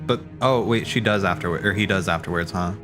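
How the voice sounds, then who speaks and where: A man streaming casual, rambling theory commentary, thinking aloud haltingly, correcting himself and swearing casually. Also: low voice, music in the background.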